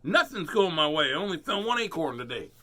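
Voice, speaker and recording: A fed-up little squirrel from a storybook, squeaking high and bright, as a man reading aloud puts it on. The voice leaps around with a lot of lift and sparkle. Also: big pitch swings, brisk. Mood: mad.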